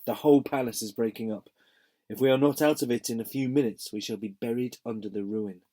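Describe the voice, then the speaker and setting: A slightly hoarse man voicing a tall, cold, imperious queen who speaks in a commanding, haughty way. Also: medium pitch, a little fast, warm tone, close to an everyday voice. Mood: calm. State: slightly hoarse from a sore throat.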